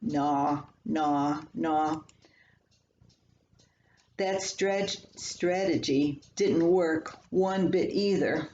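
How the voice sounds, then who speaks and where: An older woman reading her own humorous personal column aloud in a folksy, unhurried storytelling manner. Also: sits low, warm tone. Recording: echoey room, slightly dull, faint hiss.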